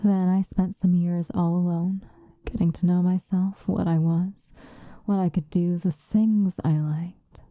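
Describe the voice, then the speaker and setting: A woman playing a possessive, obsessive female vampire lover in an ASMR roleplay, sinister yet tender, intimate and breathy, often slipping into whispers. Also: fairly low pitch, fairly even intonation, mellow, dry recording, close to an everyday voice. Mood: reflective, proud. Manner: intimate, breathy, drawn-out seductive delivery, often dropping into whispers.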